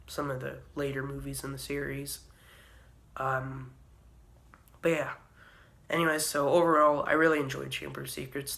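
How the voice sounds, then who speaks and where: A young man vlogging casually, hoarse from a cold and a sore throat, rambling with frequent ums and uhs. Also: mid-range voice, fairly bright tone. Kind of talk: casual vlog review.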